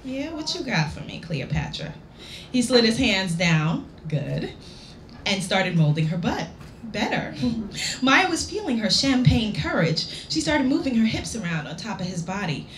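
A woman author reading a story aloud to a live audience, playful and theatrical, with dramatic pauses. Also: mid-range voice, sing-song. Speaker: author reading own work. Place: indoor lounge at a public reading event. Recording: roomy live venue recording with background noise.

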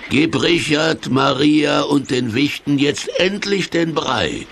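An actor playing a well-meaning, placating villain's sidekick in a children's radio play, coaxing and reassuring. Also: male voice, fairly high pitch, animated, takes it slowly, vocal fry, fairly bright tone, a little hiss or hum, full character voice. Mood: soothing, scolding.

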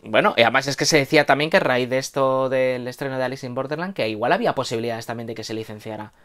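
Man, thirties, Castilian Spanish accent, medium pitch, chatty, slangy, casual news co-host. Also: rapid-fire, a bit bright. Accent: Castilian Spanish from Spain. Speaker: co-host of a manga and anime news show. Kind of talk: casual conversational news chat. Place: home room with bookshelves. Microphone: lapel mic.

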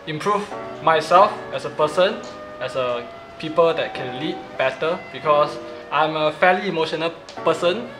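A man in his twenties with a Malaysian English accent, a team captain chatting playfully and laughing easily in an interview. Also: a little high. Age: 20s. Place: living room with sofas, indoors. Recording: roomy room with background music.

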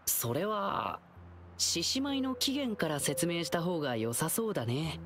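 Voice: medium pitch, sing-song, measured pace, background noise. An actor voicing an earnest, friendly, boyish lion-eared young dancer in a Japanese anime game. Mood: earnest, reflective. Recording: game background music under voice.

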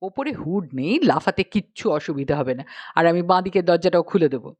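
A woman voicing a grave, calm, reassuring uncle who gives orders in a storybook tale. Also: on the low side, a little fast, voice pushed down. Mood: grave command. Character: cheerful, unflappable uncle driving a car, commanding with grave calm.

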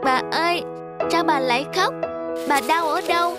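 A woman's voice: extremely high pitch, moderate pace, loud music behind the voice. A woman voicing a small, caring grandchild in a cartoon, sweet and solicitous. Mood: concerned. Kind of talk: scripted cartoon dubbing.

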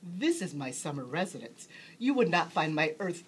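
A woman voicing a sly, courtly gentleman fox with sandy whiskers, reading from a storybook with genteel, emphatic politeness. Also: sits low, very animated, moderate pace, slight background noise. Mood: hospitable, coaxing.

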